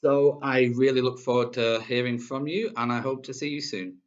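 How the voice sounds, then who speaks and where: A young man talks to his webcam in an indoor room at home, introducing himself as a teacher. His voice sits at an ordinary middle pitch, and he speaks in an earnest, unhurried way, thinking aloud as he goes. The built-in microphone gives the sound a dull, lo-fi quality. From Manchester, England. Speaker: English teacher. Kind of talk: self-introduction to camera.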